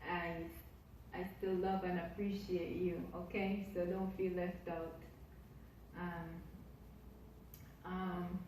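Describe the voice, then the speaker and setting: A woman's voice: fairly low pitch, mellow, heavy reverb, far from the mic, slight background noise, rough recording. A Jamaican woman vlogging casually to her camera, effusive and repetitive as she piles up her thanks. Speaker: YouTuber. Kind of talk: casual vlog talk to camera.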